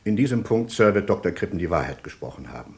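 An actor playing a courteous but persistent, suspicious British police inspector in a radio play, speaking formally and with polite insistence. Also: male voice, low-pitched, big pitch swings, fast talker, gravelly, husky, exaggerated voice. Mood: firm, suspicious. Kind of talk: German radio play dialogue.

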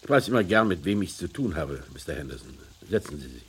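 A suspicious company director in an old radio play. His voice is very deep and his questions come curt and probing. There is faint noise behind him. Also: sing-song, quick pace. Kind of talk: German radio crime drama acting.

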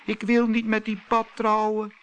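Man doing a high-pitched, pleading tiny girl. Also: monotone, moderate pace, clean tone, lightly acted. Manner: plaintive, pleading. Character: tiny thumb-sized girl, sweet and pleading.

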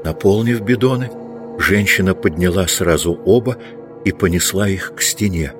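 A man's voice: deep voice, moderate pace, faint music behind. A man reading scripted literary narration as the narrator of an audiobook.

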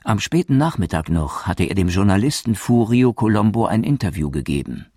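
A man's voice: lowish voice, sing-song, fairly quick, mellow, breathy edge, no room sound, studio quality. A man as a documentary narrator in a radio play, reading scripted reports soberly and factually.

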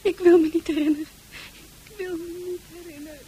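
Fragile, high-voiced female mental patient. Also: steady tone, dark tone. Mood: panicked, pleading. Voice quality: breathy, often near-whispered. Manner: halting, poetic, lost in thought. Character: fragile, haunted young female psychiatric patient, dreamy and frightened. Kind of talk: radio drama acting. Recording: faintly noisy old radio play recording.

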